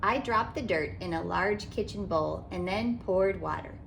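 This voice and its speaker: A woman hosting a read-aloud as a children's author, narrating a picture book in a deliberate, animated way for young children. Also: mid-range voice, creaky, slight background noise. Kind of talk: expressive children's storybook narration.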